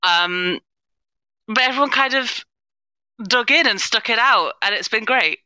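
A woman's voice: fairly high pitch, unhurried, sharp tone, clean tone, no echo, rough recording. A woman with a British accent, speaking conversationally as a guest on a podcast panel. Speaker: podcast panellist, audio drama performer. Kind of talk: panel discussion.

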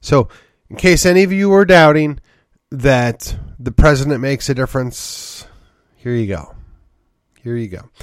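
A man's voice: medium pitch, no room sound, studio quality. A man hosting a solo political commentary podcast, congested from a sinus infection, rambling and wry with sighs and short laughs. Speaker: podcast host.

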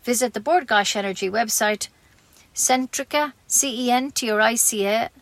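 A woman's voice: fairly high pitch, normal speed, dry recording. A woman with an Irish accent reading scripted local news as a community radio newsreader.

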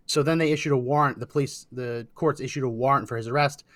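Male show host, mid-pitched, casual banter, dry sound. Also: fairly even intonation, fast, fairly bright tone, dry recording. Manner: laughs often, teasing.

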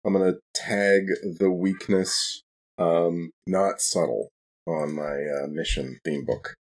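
A man's voice: low voice, steady tone, slow pace, slightly rough. A man chatting casually as a player in a tabletop role-playing game, talking through his moves.

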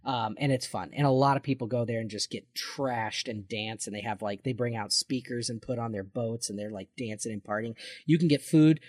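An American man in his forties chatting casually as a podcast co-host, in an excitable, jokey, sweary way. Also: medium pitch, a little fast, hardly any pauses, no room sound, clean studio sound. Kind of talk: casual chat.

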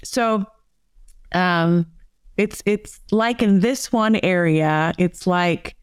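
A woman's voice: lowish voice, very slow, smooth, no room sound, clean studio sound. A woman, a psychotherapist guest, explaining her ideas in a conversational interview with many fillers. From United States.

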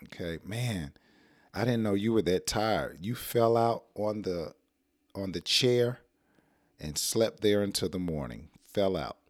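A middle-aged American man with a hoarse, rough throat, teaching an English lesson in a casual, playful way and acting out example sentences. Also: on the low side, animated, measured pace, no echo, studio quality.

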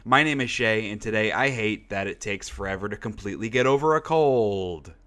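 A man's voice: on the low side, fairly quick, fairly bright tone. A young man hosting a casual podcast, congested from a cold and rambling with lots of fillers. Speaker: podcast host. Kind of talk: casual chat.

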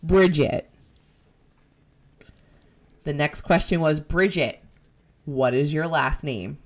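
A woman with a New Jersey accent, hosting a podcast and retelling a ghost-hunting episode in animated, profane casual chat. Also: sits low, takes it slowly. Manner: animated, profane, jumps into quoted voices. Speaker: podcast host.

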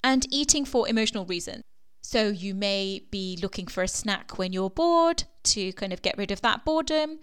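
Croaky-voiced woman coaching podcast host. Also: mid-range voice. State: slightly croaky from a sore throat. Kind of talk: solo podcast monologue.

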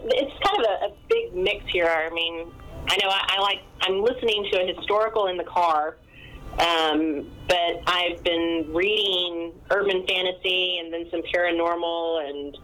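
A woman's voice: on the low side, normal speed, a bit bright, a little hiss or hum, low-quality recording. A woman from a book club chatting casually and rambling in an easygoing way. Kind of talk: casual chat.

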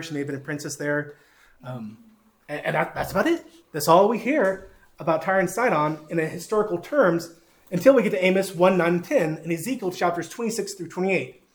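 Southern guy preaching, kinda high voice, folksy and jokey about himself, lots of uh's. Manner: folksy, self-deprecating, with frequent uh fillers. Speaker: pastor. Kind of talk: sermon teaching with a map.

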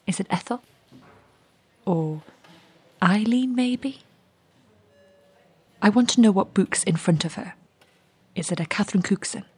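An actress playing a restless, curious young woman in an audio drama monologue, confiding and wry, with a hushed, husky voice. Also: medium pitch, dry recording.

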